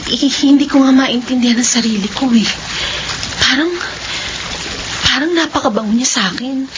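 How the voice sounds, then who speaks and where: A woman acting in a Filipino radio drama as a gentle, easygoing young village woman. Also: high-pitched, normal speed, lightly acted. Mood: puzzled. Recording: dull lo-fi radio drama, noisy background.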